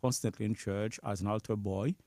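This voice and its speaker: A man from Haiti with a Haitian accent, a priest whose native language is Creole, answering interview questions haltingly with small laughs. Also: lowish voice, fairly even intonation, dark tone, no echo, clean studio sound. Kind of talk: interview conversation.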